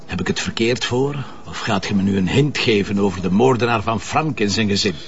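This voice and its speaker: An actor with a Flemish Belgian accent playing a shrewd, teasing police commissioner in a radio drama, wry and colloquial in manner. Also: male voice, lowish voice, fairly quick, distant mic, dull audio, a crowd in the background, natural voice. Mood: needling, sceptical. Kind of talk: naturalistic radio drama dialogue.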